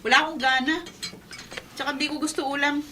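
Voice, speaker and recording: A woman's voice: high-pitched, steady tone, brisk, bright, forceful tone, a little hiss or hum. A woman playing a resentful, exasperated wife who grudges every peso, whining and nagging in a radio drama. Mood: sulky. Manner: whiny, exasperated.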